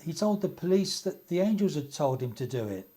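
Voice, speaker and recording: A man's voice: on the high side, sing-song, a little fast, mellow. Grave, earnest young man played by a man. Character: Henry, earnest, troubled young man, family friend. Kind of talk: radio play acting.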